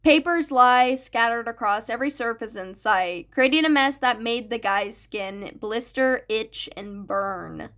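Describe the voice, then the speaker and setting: A woman's voice: a little high, animated, unhurried, few pauses, a bit bright. A woman reading a story aloud as a scripted audiobook narration. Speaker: fan fiction narrator.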